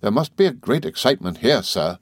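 A meek little country doctor from a storybook, as a male reader puts him on, slow and hesitant, apologizing with every phrase. His voice is lifted a little above a man's lowish speaking tone, soft and deferential. It sits close and dry, with no room around it. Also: big pitch swings, no echo. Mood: meek, fluttered.

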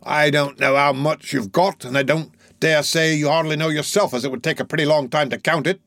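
A man voicing a gruff, brutal adult male burglar in a storybook reading, growling and surly. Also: a little high, steady tone, brisk, a bit bright, no room sound, pitched above the performer's own voice.

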